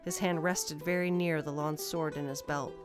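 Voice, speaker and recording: A woman's voice: sits low, steady tone, normal speed, over music. A woman narrator reading a scripted fantasy story.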